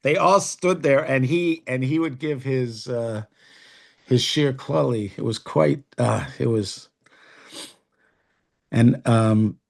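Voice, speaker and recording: A middle-aged man shares memories on a podcast, with a voice of ordinary pitch. He speaks in a halting way, restarting sentences and reaching for words as he goes. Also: vocal fry. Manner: halting, searching for words with many fillers. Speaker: podcast co-host, rabbi. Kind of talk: casual reminiscing conversation.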